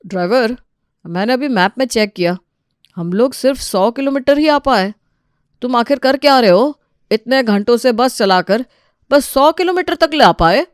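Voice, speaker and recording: A grumpy man from a cartoon family drama, voiced by a woman. The tone is clean and a bit high. He scolds and complains with open exasperation, recorded very dry. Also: lively intonation, moderate pace, clean tone, dry recording, natural voice. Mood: irritated. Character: grumpy, indignant men on a family bus trip.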